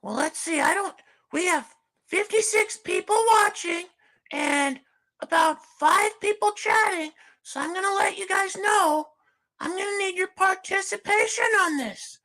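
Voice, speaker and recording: A man voicing a gushing woman host in falsetto on a comedic livestream, starting almost every line with "well". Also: a little slow, pushed and bright, exaggerated voice, low-quality recording. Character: shrill fake woman hostess, gushing. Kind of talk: comedic livestream character bit.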